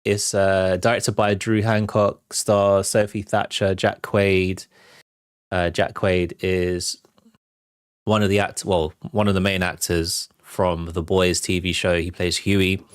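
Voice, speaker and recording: A man's voice: deep voice, little pitch movement, slow, smooth, no room sound, clean studio sound. A British man hosting a movie trailer show, giving casual reaction commentary.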